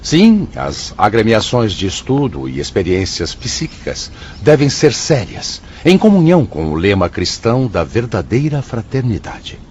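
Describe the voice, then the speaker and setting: An actor with a Brazilian accent playing a solemn, benevolent spirit director in a radio drama, speaking formally and with authority. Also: male voice, lowish voice, sing-song, measured pace, few pauses, a little mellow. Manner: formal, declamatory. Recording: dull lo-fi audio, faint noise.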